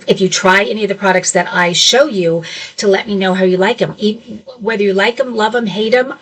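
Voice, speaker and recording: A woman's voice: mid-range voice, fairly bright tone, recorded from a distance, poor audio. Chatty upbeat woman in her fifties. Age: 50s. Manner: chatty, upbeat, addresses chat directly. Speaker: live shopping host. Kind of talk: casual live-stream chat to viewers. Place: home office with shelves.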